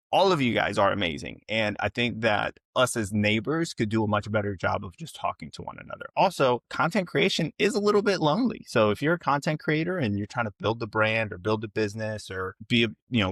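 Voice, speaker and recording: A young man speaks informally to his online audience in a fairly low voice. The sound is dry, with little room around it. He addresses viewers directly and checks in often, like a content creator hosting his own livestream. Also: a bit bright, no echo. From Ecuador and North Carolina. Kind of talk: casual livestream monologue to camera.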